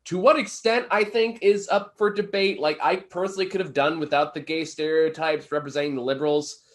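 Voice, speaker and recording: A man's voice: on the high side, steady tone, fairly quick. Opinionated man in casual review chat. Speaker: film reviewer and podcast co-host.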